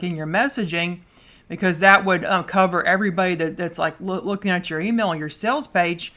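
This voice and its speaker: A woman hosting a solo podcast in a casual way, loud and fast, with frequent stumbles and repeated words. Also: fairly low pitch. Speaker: podcast host.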